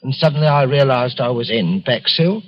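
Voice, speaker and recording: A man's voice: mid-range voice, moderate pace, lightly acted. Actor as a hesitant, timid middle-aged salesman, old radio. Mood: troubled. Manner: hesitant, apologetic, stammering. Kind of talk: 1940s radio drama acting. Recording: dull vintage radio recording.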